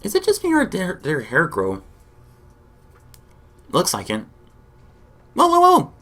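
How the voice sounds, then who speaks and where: Excitable man hosting a reaction vlog. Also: high voice, unhurried. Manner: excitable, exclaiming, repetitive. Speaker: YouTube reaction host. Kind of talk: enthusiastic reaction and discussion vlog.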